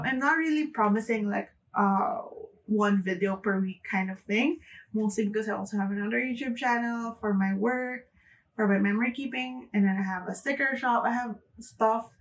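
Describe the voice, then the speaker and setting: A woman's voice: mid-range voice, distant mic, poor audio. A young woman from the Philippines with a Filipino accent, chatting casually to camera and often saying "like". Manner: chatty, frequent "like" fillers, light laughs. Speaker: booktuber.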